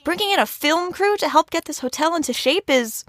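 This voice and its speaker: Very high-voiced, earnest agent. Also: female voice, lively intonation, fairly quick, fairly bright tone, no room sound. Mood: earnest, amazed. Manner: earnest, exclaiming, questioning. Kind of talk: comic audio drama acting.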